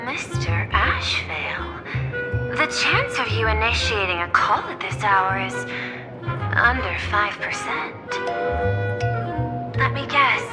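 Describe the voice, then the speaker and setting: A woman voicing a poised, shrewd business executive in a video game, speaking in a measured, formal, knowing way. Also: on the high side, lively intonation, loud music behind the voice. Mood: coolly amused. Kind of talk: dramatic video game voice acting.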